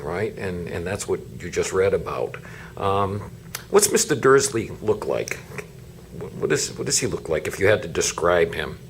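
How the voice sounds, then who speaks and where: A man with a very low voice tutors a pupil in a casual, encouraging way. His pitch is lively and varied as he asks questions. There is faint noise in the room behind him. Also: creaky. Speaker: reading tutor. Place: small meeting room, indoors.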